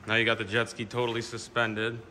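Low-voiced man, noisy workshop recording. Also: monotone, brisk. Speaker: camera operator and interviewer. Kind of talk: casual off-the-cuff walkthrough. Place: indoors in a wooden barn workshop. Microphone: handheld camera or phone mic.

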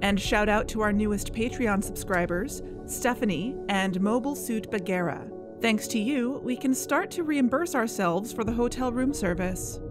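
An actress playing an intense, serious investigator who co-hosts a podcast in an audio drama. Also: female voice, fairly high pitch, lively intonation, normal speed, over music, natural voice. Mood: composed. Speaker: podcast co-host character and investigator.

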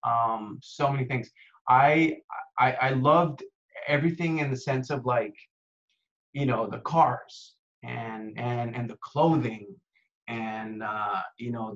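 A man's voice: mid-range voice, takes it slowly, fairly bright tone. A man in his thirties from Costa Rica, an actor chatting casually in an interview over a video call, laid-back and full of "dude" and "man". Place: home room with window, indoors. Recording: dull lo-fi video call audio.

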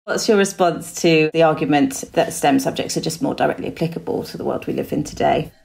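Woman podcast host, lowish creaky voice, measured scripted delivery. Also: a little mellow. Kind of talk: scripted podcast narration and interview questions.

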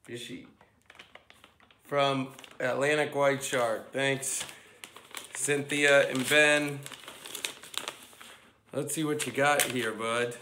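A young man from Cape Cod, hoarse from a cold, chatting casually as a vlog host into a handheld camera and talking to his dog. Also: mid-range voice, steady tone, drawn-out words, pauses often, pushed and bright, a little hiss or hum. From Cape Cod, Massachusetts. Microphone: handheld camera at arm's length. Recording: music playing behind the voice.

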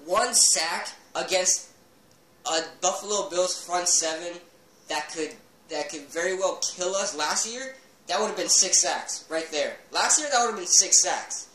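A man's voice: high-pitched, pushed and bright, reverberant, rough recording. A teenage boy doing a heated post-game football review, talking into a laptop webcam in a small bedroom. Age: teens. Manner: heated, fast fan rant with fillers. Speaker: sports vlogger.

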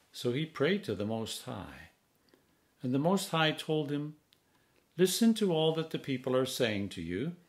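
A man in his sixties, a priest, reading scripture aloud in a measured, solemn manner with deliberate phrasing. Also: mid-range voice. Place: home study, indoors.

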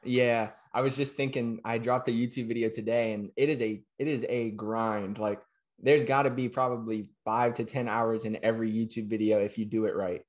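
A man's voice: mid-range voice, fairly even intonation. A young man in his twenties hosting a podcast over a video call from a small room at home, upbeat and friendly. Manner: upbeat, friendly, quick affirmations. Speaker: podcast host. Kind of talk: casual video-call interview. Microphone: webcam or laptop mic on a video call.

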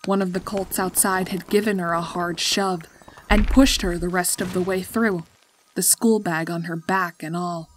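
A woman's voice: lowish voice, fairly even intonation, moderate pace, a little hiss or hum. A woman narrating a scripted story as its storyteller. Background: music.

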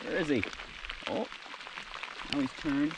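A man's voice: fairly high pitch, measured pace, heavy background noise. A young man chatting and explaining his tackle while fishing from a canoe on a lake, caught on an action camera. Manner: excited, explains tackle plainly. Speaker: angler in canoe. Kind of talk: casual outdoor chat. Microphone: action camera on boat.

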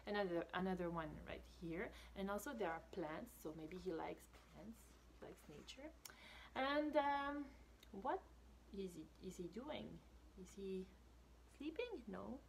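A woman's voice: medium pitch, vocal fry, a little hiss or hum, rough recording. A woman in her thirties reading a picture book aloud to children, expressively, with casual asides. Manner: expressive, with whispered asides and questions to listeners. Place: small indoor room with brick wall.

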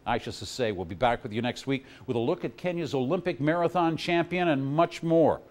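A man's voice: medium pitch, a little mellow. Man reading formal news narration. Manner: measured, formal delivery. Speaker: news presenter.

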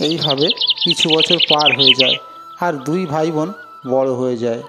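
A man's voice: fairly high pitch, normal speed, over loud music. A man narrating a scripted cartoon story as a storyteller.

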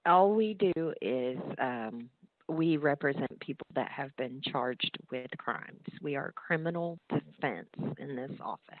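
A woman's voice: rumbling low, animated, unhurried. Woman on a narrow phone line. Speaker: law office receptionist. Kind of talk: phone conversation with a caller. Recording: muffled narrow telephone line.

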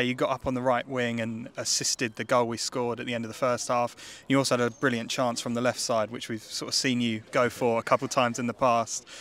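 A man's voice: mid-range voice, fairly bright tone. A man interviewing a football player after a match, asking long, composed questions. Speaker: club media interviewer.

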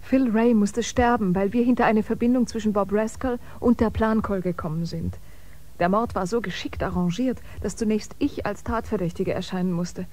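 An actress playing a self-possessed musician bound for Mars in a science-fiction radio play, earnest and articulate. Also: female voice, mid-range voice, moderate pace, warm tone, natural voice. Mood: composed.

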